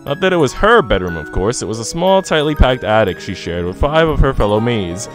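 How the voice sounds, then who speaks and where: Guy streamer chatting n reading a story out loud, super up and down pitch, jokey, music playing behind. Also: very animated, a little fast. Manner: joking, teasing, informal slang. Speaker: live streamer. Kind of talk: casual stream chat mixed with reading visual novel narration aloud. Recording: background music under voice.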